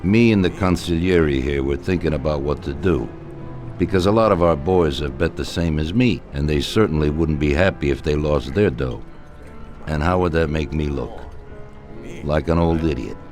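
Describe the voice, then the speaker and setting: An older mob boss, speaking slowly and deliberately with a commanding, shrewd edge. His voice is extremely deep and low. Music plays clearly behind him throughout this game cutscene. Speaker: crime boss. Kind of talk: video game cutscene acting.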